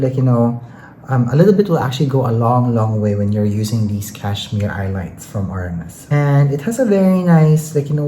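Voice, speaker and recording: An enthusiastic beauty vlogger with a mellow, relaxed timbre and an androgynous mid-pitched voice, reviewing makeup in a casual, chatty way. The talk is peppered with "like, you know". The sound is echoey, as in a bare room, with a faint layer of noise. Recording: roomy echo, faint background noise.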